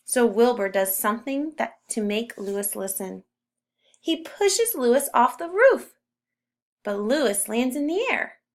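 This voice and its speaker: A woman narrating a children's story as a read-aloud, with expressive emphasis on key words. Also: on the high side, slow pace. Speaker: storybook reader.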